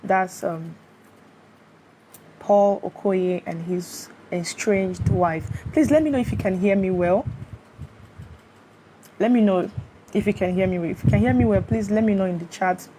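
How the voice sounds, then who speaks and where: A woman's voice: medium pitch, unhurried, long pauses. A Nigerian woman hosting a live stream, chatting casually on a phone, greeting her viewers and checking they can hear her. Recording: steady fan noise behind.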